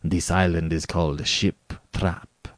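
A man's voice: deep voice, level tone, slow pace, dry recording. A man voicing an urbane, arrogant aristocratic general in a story, with bland, precisely worded condescension. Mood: sly, pleased. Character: urbane, arrogant male aristocrat general who hunts men.

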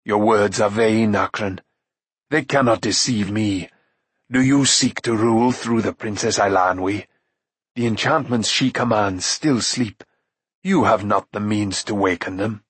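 A man's voice: fairly low pitch, fairly bright tone, slightly rough, dry recording. A noble, weathered warrior lord, read by a male narrator in an audiobook. The delivery is firm, careful and formal, in a grave voice, closely and drily recorded. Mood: stern. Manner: firm, slow, careful, archaic formal speech. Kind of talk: audiobook character acting.

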